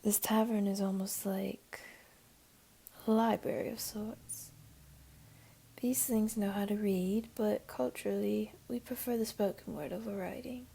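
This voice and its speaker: A woman voicing a flirty, teasing, comforting jaguar beastling in an intimate ASMR roleplay, speaking softly and coaxingly in a half-whisper. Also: mid-range voice, fairly even intonation, measured pace, creaky, husky. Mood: patient, inviting.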